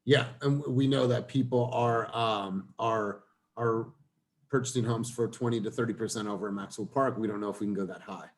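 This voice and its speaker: A man's voice: lowish voice, fairly even intonation, a little fast. Casual man coaching a team. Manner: informal, says "man" and "bro". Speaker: team leader running a sales training meeting. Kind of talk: casual coaching talk in a work meeting.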